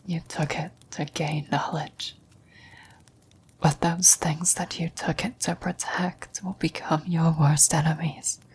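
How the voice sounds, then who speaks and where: A woman playing a gentle, wise, dying old woman in a whispered, breathy, solemn ASMR roleplay. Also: deep voice, level tone, a little hiss or hum. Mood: grave, questioning. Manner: slow, solemn, measured delivery.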